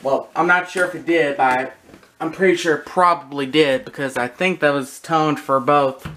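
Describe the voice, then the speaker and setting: A person giving a casual, halting, matter-of-fact show-and-tell narration, recorded on a handheld camera in a room at home. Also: medium pitch, a bit bright, slight background noise. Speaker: video creator showing a radio collection. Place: small room at home, indoors. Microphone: handheld camera close by.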